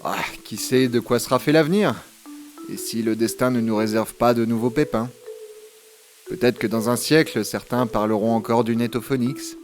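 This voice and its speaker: A man's voice: medium pitch, normal speed, soft background music, close to an everyday voice. A man playing an easily rattled, sentimental travel companion in a comedic audio drama. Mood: wistful.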